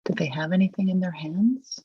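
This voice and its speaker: A person leading a guided visualization, asking gentle, measured questions with long pauses between them. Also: medium pitch, breathy edge, no echo, muffled recording.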